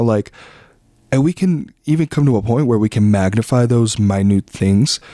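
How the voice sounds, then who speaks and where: A young man from the Philippines hosting a podcast, talking in a relaxed, rambling way with lots of fillers and slang. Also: on the low side, no room sound, clean studio sound. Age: young adult. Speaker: podcast host. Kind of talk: casual reflective monologue.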